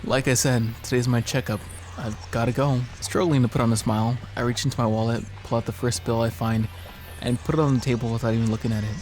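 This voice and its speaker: A man's voice: medium pitch, steady tone, fast, darkish tone, faint music behind. A young man reading game text aloud in a casual let's play, joking and theatrical. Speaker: gaming video co-host.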